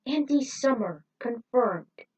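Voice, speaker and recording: A woman's voice: fairly high pitch, expressive, takes it slowly, darkish tone, breathy edge, voice pushed up, exaggerated voice, low-quality recording. A woman voicing a solemn male robot racer who states facts in clipped declarations. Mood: flat, solemn.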